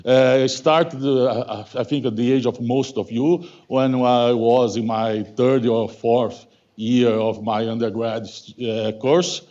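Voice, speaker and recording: A man in his fifties from Brazil with a Brazilian Portuguese accent, Portuguese as his first language, giving a halting academic talk full of self-corrections and "okay?". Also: medium pitch, steady tone, mellow, lo-fi sound. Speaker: guest lecturer. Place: lecture hall.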